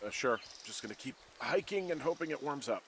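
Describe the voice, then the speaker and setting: An actor playing a guarded, dry-humoured fire lookout in a video game, speaking in a laconic, understated way. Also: male voice, mid-range voice, animated, brisk, fairly bright tone, slight background noise, natural voice. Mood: guarded, casual. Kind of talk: naturalistic video game dialogue.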